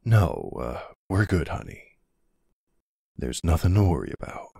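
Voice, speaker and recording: A man playing a protective, gruff but tender older boyfriend in an ASMR audio roleplay, unhurried and plain-spoken, with a husky, gravelly voice. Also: very deep voice, wildly swooping pitch, mellow, no echo, clean studio sound, full character voice. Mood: tender, reassuring.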